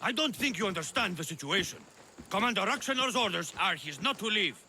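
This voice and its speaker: An actor playing a mocking, gruff soldier in a video game cutscene, with a menacing, sneering manner. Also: male voice, sits high, lively intonation, brisk, bright, forceful tone, slight background noise, exaggerated voice.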